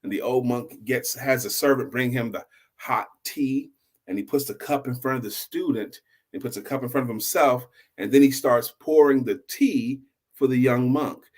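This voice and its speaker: A man hosting a story show, telling a tale in a casual, animated, improvised way and repeating phrases for emphasis. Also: medium pitch, normal speed.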